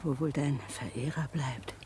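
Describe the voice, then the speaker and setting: A woman's voice: a bass voice, measured pace, a crowd in the background. An actress playing a weary, plain-spoken female convict in a 1960s German radio drama, speaking matter-of-factly. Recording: hissy old 1960s radio recording.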